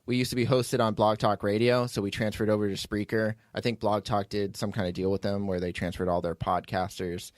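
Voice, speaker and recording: A man's voice: fairly low pitch, level tone, a little fast, few pauses, no room sound, studio quality. A man hosting a podcast, chatting casually and explaining things in a rambling way as he plugs shows. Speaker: podcast host and producer.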